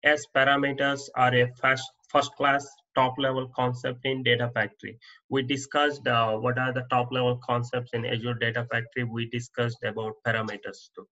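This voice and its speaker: A man teaching as an instructor, reading interview answers off slides in a plain, steady way with small restarts. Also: medium pitch, moderate pace, muffled recording. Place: voice-over on slide presentation.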